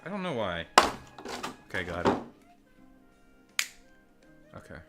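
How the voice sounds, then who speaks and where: A man's voice: sing-song, takes it slowly, over loud music. A man reviewing toys in a casual, rambling, self-deprecating way, swearing offhand. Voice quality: deep voice. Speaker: toy reviewer. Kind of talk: casual unboxing chat. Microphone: desk microphone and phone mic.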